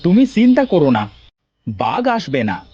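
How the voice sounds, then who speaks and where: A man playing a poor, caring village woodcutter husband with a coaxing, expressive delivery in a cartoon fairy tale. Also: on the high side, measured pace, dull audio, a little hiss or hum. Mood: reassuring.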